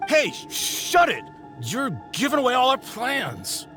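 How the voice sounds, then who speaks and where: High-pitched, jittery, blustering bandit. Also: male voice, huge pitch swings, a little slow, sharp tone, soft background music, heavily acted. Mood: indignant. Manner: stammering, blustery. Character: blustering, jittery male bandit, proud of his gang. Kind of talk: video game cutscene acting.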